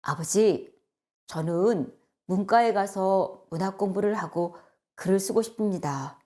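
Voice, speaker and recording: A woman voicing an earnest, sorrowful young male poet in a storybook, speaking in a solemn, measured, wistful way. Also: medium pitch, warm tone, close to an everyday voice. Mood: earnest pleading. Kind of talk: storybook acting and solemn poetry recital.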